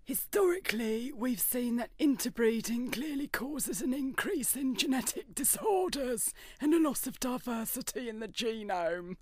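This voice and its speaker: A woman acts as a prim rabbit doctor in a radio comedy. She speaks in a slightly high voice with clinical disapproval, recorded very dry. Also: darkish tone, dry recording. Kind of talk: comedy radio play acting.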